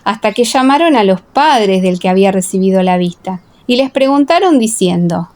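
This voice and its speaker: A woman narrating a scripture audiobook in a measured, formal, dramatic storytelling style. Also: mid-range voice, lively intonation, a little mellow.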